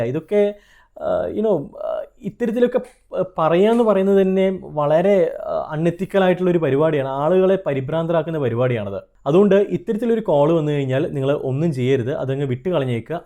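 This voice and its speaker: Mellow higher-voiced Malayali man. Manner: earnest, conversational, mixes in English terms. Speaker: trademark consultant and video host. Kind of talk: explanatory advice straight to viewers.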